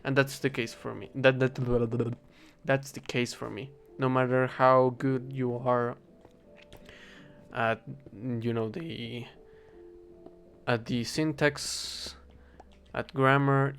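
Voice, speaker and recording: A young man with a Spanish accent, a native Spanish speaker, rambling in a casual, halting monologue while he plays a game and keeps correcting himself. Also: mid-range voice, gravelly, clear, resonant tone. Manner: halting, self-correcting, thinking aloud. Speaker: vlogger.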